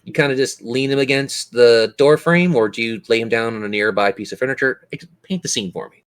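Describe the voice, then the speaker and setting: A man's voice: mid-range voice, fairly quick, smooth. A man narrating a tabletop role-playing game as game master, describing scenes and prompting players with questions.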